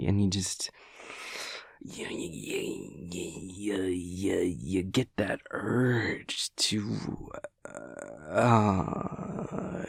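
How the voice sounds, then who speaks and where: A chaotic gremlin of a boyfriend, played by a man, nervous and evasive in an audio roleplay. His voice swings freely up and down with a gravelly edge, captured close and dry. He stammers and rambles as he dodges. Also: fairly low pitch, no room sound, exaggerated voice. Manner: stammering, flustered, rambling with halting hesitations. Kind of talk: improvised-sounding roleplay audio monologue.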